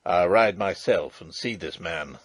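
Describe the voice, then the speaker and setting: A man voicing a blunt, guarded old colonel in a low, clipped, gruff voice. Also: measured pace, dry recording.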